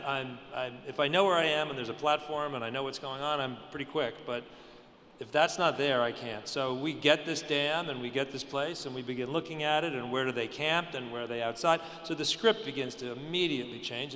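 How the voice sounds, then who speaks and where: A man, a writer on a convention panel, talking excitedly and repeating phrases as he thinks aloud. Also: medium pitch, quick pace. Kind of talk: panel discussion. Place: large convention hall stage. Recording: echoey hall, faint hum.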